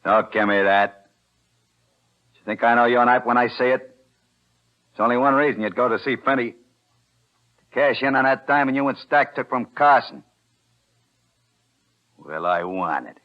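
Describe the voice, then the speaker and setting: An actor playing a threatening gangster hoodlum, slangy and hard-bitten, in a 1940s radio thriller. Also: male voice, mid-range voice, measured pace, pauses often, close to an everyday voice. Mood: angry demanding. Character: threatening, double-crossed gangster hoodlum with a gun. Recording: muffled vintage radio broadcast.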